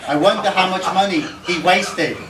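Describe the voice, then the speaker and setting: A man reading a comic rhyming poem aloud to a gathering, in measured lines. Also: sits high. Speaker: reader of a birthday tribute poem. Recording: roomy, noisy live recording from far off.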